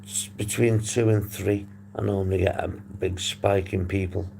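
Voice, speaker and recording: A man hosting a casual livestream, hoarse and husky, rambling and swearing as he reads the chat. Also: low voice, flat delivery, normal speed, darkish tone. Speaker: livestream host. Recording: noisy background hum.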